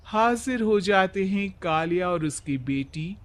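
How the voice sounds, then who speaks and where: A man's voice: sits high, expressive, unhurried. A man narrating a scripted moral story as its storyteller.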